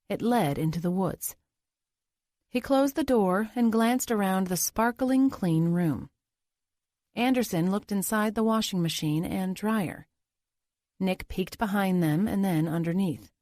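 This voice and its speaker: A woman's voice: mid-range voice, darkish tone, dry recording. Female audiobook narrator for a thriller, mid-range voice, dry studio sound.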